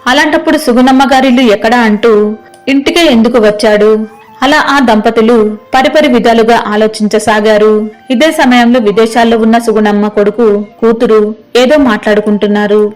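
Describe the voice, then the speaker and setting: A woman's voice: a little high, steady tone, fairly quick. A woman reading a scripted story as its narrator. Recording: music behind the voice.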